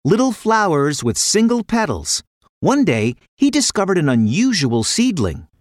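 A woman reading a scripted children's fairy tale as an expressive storyteller. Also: lowish voice, measured pace, dry recording, clean studio sound. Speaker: narrator.

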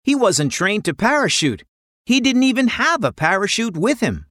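A woman narrating a scripted children's story in an expressive, dramatic way. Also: mid-range voice, normal speed, no echo, clean studio sound.

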